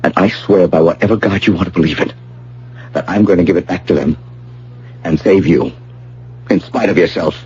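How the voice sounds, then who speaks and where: A man's voice: low-pitched, moderate pace, long pauses, lightly acted. An actor playing a proud, protective young male fiancé of Inca descent in an old-fashioned radio drama, grave and insistent. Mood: brooding, solemn. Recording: dull, hissy old radio broadcast with hum.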